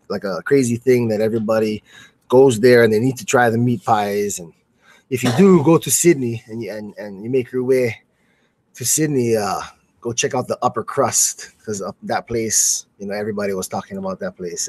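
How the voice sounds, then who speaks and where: A man's voice: lowish voice, a little fast, a little mellow, no room sound. A man from the United States co-hosting a live sports show with informal, slangy, conversational talk. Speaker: radio co-host. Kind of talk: casual live sports chat.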